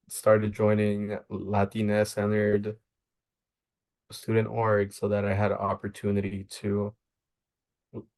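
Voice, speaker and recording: Young male social worker, flat even delivery, earnest and measured, interview guest. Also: lowish voice, creaky. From Maryland, United States. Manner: earnest, measured, thinks aloud. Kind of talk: reflective interview answers.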